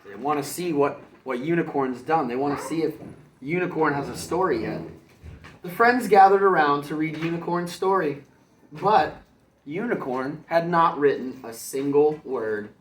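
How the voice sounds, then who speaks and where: A man who works as a kindergarten teacher reads a storybook aloud for children, pausing to explain it in simple words. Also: fairly high pitch, roomy sound, distant mic, slight background noise.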